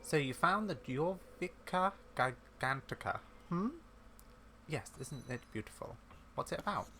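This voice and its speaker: A performer reading as a bookish old-library keeper in a fantasy game. The delivery is formal and musing, a little grumbling, with lively rises and falls. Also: medium pitch, measured pace, a little hiss or hum.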